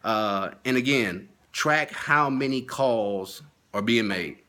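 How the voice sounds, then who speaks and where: A man with a medium-pitched voice gives an informal training talk in the role of a sales consultant. He speaks emphatically and comes back to his key points, repeating them to drive them home. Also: slow, bright, forceful tone.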